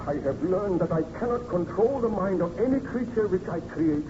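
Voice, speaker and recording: A troubled baron, earnest n formal, higher voice, muffled hissy old radio play. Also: male voice, animated, moderate pace. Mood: anguished. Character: troubled, remorseful baron, the monster's creator. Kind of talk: old-time radio drama acting.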